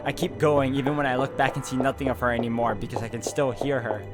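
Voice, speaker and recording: Man narrating over loud background music. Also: medium pitch, little pitch movement, fast. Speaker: gameplay host reading a visual novel aloud. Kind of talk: dramatic read-aloud narration with casual asides.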